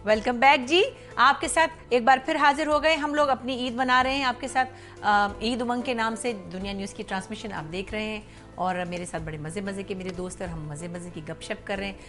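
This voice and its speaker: A woman's voice: mid-range voice, fairly even intonation, brisk, a bit bright, faint music behind. A woman hosting a festive television chat show, chatty and playful, laughing often between lines. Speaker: talk show host. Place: TV studio.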